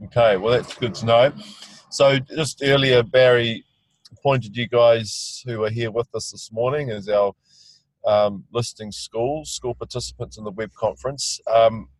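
A man's voice: mid-range voice, fairly even intonation, measured pace, no room sound, poor audio. A man, a field trip teacher hosting a web conference from inside a vehicle, talking in a loose, friendly way with fillers and restarts. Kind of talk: casual hosted web conference.

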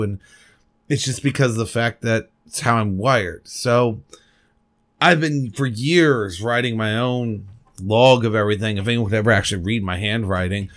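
A man's voice: medium pitch, a bit bright. Rambling, candid male podcast host. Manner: swears casually. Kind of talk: solo podcast monologue. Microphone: desk microphone at a computer.

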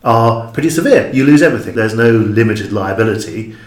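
A man's voice: on the low side, lively intonation, brisk. A man speaking as an interview guest, explaining his creative work in a reflective, emphatic way. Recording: echoey room.

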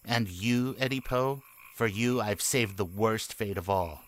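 A man's voice: on the low side, unhurried, a little hiss or hum, natural voice. A man playing a vengeful, petulant boy villain in a comedic radio play, with a theatrical, grandiose delivery. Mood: menacing, gloating.

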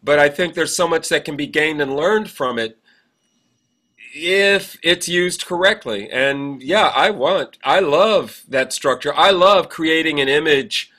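A man with a bright, forward voice, pitched a little high, co-hosts a relaxed discussion. He reflects at some length, stressing key words and building his point through repetition. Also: measured pace. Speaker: podcast co-host, military veteran. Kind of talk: casual, reflective interview conversation.

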